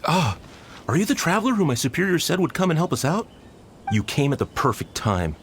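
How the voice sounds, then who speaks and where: An actor playing an exasperated festival staff worker who sighs over complaints, in video game dialogue. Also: male voice, on the high side, big pitch swings, fairly quick. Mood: harried. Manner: weary, sighing, exasperated.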